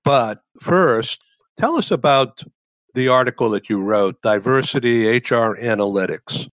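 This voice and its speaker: Interview host in a dull, dry recording. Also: male voice, mid-range voice, expressive, measured pace, fairly bright tone, no echo. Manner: friendly, asks leading questions. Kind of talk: interview conversation.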